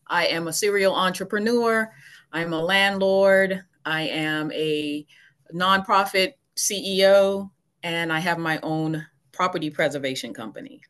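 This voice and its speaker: Woman, from the Bahamas, forties, lowish voice, casual, plain explainer, video call. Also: a little slow. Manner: relaxed, explains plainly with fillers. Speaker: guest; teacher and entrepreneur. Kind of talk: casual podcast interview.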